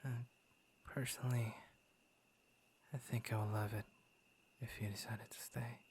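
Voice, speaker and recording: A man playing a gentle, lonely young werewolf in an intimate ASMR roleplay, speaking softly and often slipping into a breathy whisper. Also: mid-range voice, level tone, slight background noise, lightly acted. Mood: tender, hopeful. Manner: soft, breathy, often dropping into whispers, hesitant pauses. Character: gentle, lonely young male werewolf turned tender suitor. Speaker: voice actor in audio roleplay. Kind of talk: ASMR boyfriend roleplay, intimate scripted monologue.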